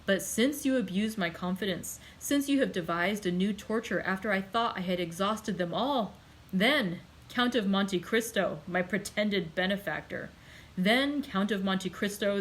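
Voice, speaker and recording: A woman reads as a young man driven to despair, his voice raised and raw with anger and grief. He hurls accusations in loud, impassioned bursts, pitched a little higher and pushed harder than the reader's own narration. The reading keeps an even, middling register underneath the strain. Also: natural voice, poor audio. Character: young man, desperate, angry, grief-stricken. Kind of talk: audiobook character reading.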